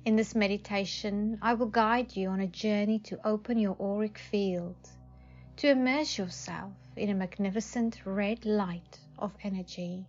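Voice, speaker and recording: A woman leading a guided meditation in a soothing manner, speaking in short phrases with long pauses. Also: medium pitch, a little mellow. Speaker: psychic advisor, meditation guide. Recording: slightly dull audio. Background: faint music.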